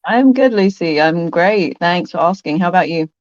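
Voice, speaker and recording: A woman from London, a podcast guest who answers thoughtfully, pausing and restarting phrases. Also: fairly low pitch, lively intonation, dry recording, dull audio. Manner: thoughtful, hesitant, restarts phrases and laughs often. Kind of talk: casual interview conversation.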